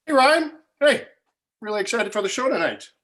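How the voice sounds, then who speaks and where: A man's voice: sits high, sing-song, normal speed, a bit bright. A man playing an uneasy, easily offended screenwriter of about forty, stammering awkwardly in a comedy table read. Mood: polite, uneasy.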